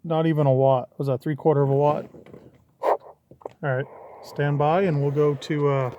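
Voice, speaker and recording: A middle-aged man with a somewhat high-pitched voice talks casually through a hands-on demo. He is folksy and informal, working things out aloud. The amateur recording carries some noise behind him. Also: level tone, moderate pace, dark tone, lo-fi sound. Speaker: hobbyist vlogger demonstrating a CB radio. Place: in or beside a parked vehicle. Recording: clearly noisy handheld amateur recording.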